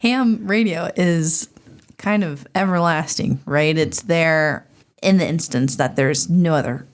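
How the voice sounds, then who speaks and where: A woman's voice: low voice, slow pace. An American woman hosting a podcast in a conversational interviewing style. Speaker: podcast host.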